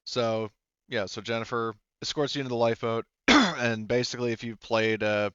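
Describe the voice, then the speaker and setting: A man narrating a tabletop roleplaying game as game master, in a halting, informal way. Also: mid-range voice, a bit bright, smooth, no room sound, highs cut off.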